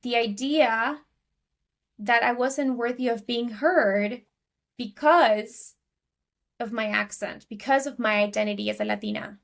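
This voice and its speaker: A woman's voice: fairly high pitch, fairly even intonation, unhurried, gravelly. A woman from Argentina with an Argentinian accent, chatting casually as a podcast guest with many fillers and restarts. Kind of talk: casual interview talk.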